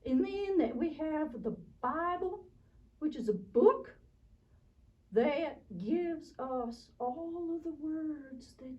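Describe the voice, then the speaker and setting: A kind, enthusiastic puppet teacher, voiced by a woman, with a very high voice. She explains things slowly, simply and with earnest emphasis, as in a children's show. The sound is muffled. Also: animated, recorded from a distance. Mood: gentle, earnest.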